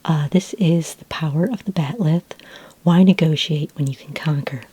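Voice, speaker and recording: A woman plays a friendly librarian in a soft-spoken ASMR roleplay. Her voice is low and very mellow, mostly a breathy whisper. She explains things gently and helpfully, with a slightly hesitant delivery. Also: moderate pace. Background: computer keyboard typing.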